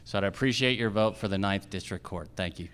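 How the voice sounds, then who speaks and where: A man's voice: fairly low pitch, brisk, fairly bright tone, clean tone. A man speaking as a judicial candidate, giving a campaign speech at a live forum. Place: theater, live event.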